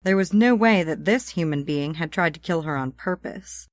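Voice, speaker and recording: A woman's voice: on the low side, animated, normal speed, no echo. A woman reading a comic novel as a scripted audiobook narration.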